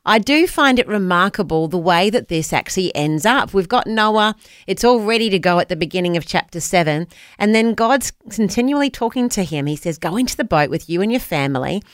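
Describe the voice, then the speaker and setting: A woman's voice: medium pitch, animated, brisk, no echo, clean studio sound. Enthusiastic New Zealand woman on radio. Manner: enthusiastic, reflective, reads scripture aloud. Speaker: radio host. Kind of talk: conversational Bible discussion on radio.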